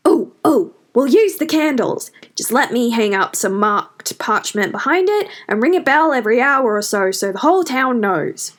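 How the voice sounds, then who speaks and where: Excitable male villager, squawky and emphatic, pitched up, performed by a woman reading a story. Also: normal speed, hardly any pauses. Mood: eager. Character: excitable, squawking village man, candle-waving eccentric.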